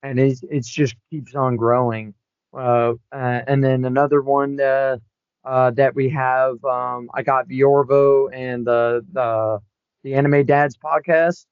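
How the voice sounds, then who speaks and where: A man of about twenty co-hosting a podcast in casual chat, excitable and given to jumping into high shouts. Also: takes it slowly, smooth, dry recording. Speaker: podcast co-host. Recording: dull lo-fi sound, dry.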